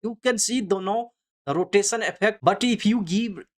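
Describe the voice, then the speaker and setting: A tutorial instructor with a mid-pitched voice talks through software steps off the cuff. The phrasing is non-native English, halting and often repeated, as each step is explained in turn. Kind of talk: unscripted screen-recorded step-by-step tutorial narration.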